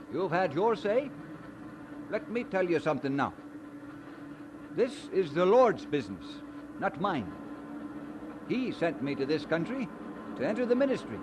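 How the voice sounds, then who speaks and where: An actor playing an earnest, devout young Scottish immigrant bound for seminary, halting when moved and firm about his faith, in a radio drama. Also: high voice, noisy background. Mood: firm, fervent. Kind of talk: mid-century radio drama acting.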